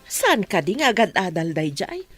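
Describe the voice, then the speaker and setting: A woman's voice: medium pitch, sing-song, moderate pace, no echo, faint music behind. A woman with an Ilocano accent playing a fair-minded, reasoning village woman in a radio drama. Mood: reproving.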